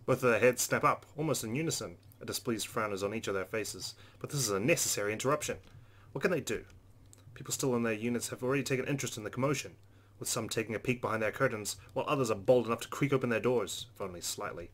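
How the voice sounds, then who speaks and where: A man's voice: mid-range voice, fast talker, fairly bright tone. A man hosting a game playthrough, reading visual novel narration aloud. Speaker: let's play host.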